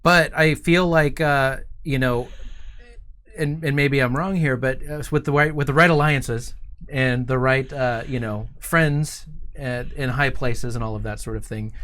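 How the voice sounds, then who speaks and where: A man hosting a casual livestream chat, informal and somewhat halting as he thinks aloud. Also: medium pitch, fairly even intonation, a bit bright. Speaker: show host.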